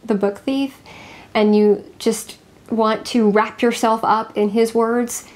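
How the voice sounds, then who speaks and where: A woman co-hosting a casual book chat, talking in an expressive, confiding way. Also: on the high side, slow. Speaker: co-host of a book review show.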